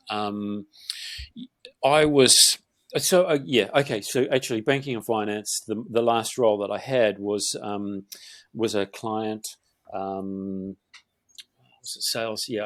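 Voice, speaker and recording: A middle-aged man from Northeast England with a Geordie accent softened by years in New Zealand, a podcast guest who talks in a rambling way with many fillers and restarts. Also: on the low side, dry recording. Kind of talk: conversational interview.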